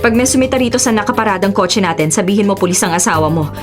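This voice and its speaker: A woman playing a brisk, businesslike policewoman and dutiful daughter in a radio drama. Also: medium pitch, expressive, natural voice. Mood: urgent. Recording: music bed under dialogue.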